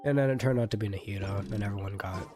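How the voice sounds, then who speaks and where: A man's voice: fairly low pitch, fast. A young adult man giving casual, rambling livestream commentary on a game in slangy language. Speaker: game streamer. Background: game music.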